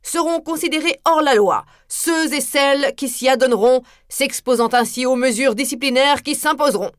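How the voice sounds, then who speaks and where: Storybook herald, male, stern and formal, proclaiming loudly, put on by a woman reader. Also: high voice, normal speed, clean tone, dry recording, clean studio sound, voice pushed up, natural voice.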